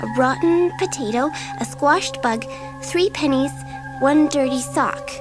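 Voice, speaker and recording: A high, girlish voice over music: a bored, mischievous schoolgirl. She is expressive and dramatic, quick to take offence, in the manner of a children's audio drama. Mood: reading spell.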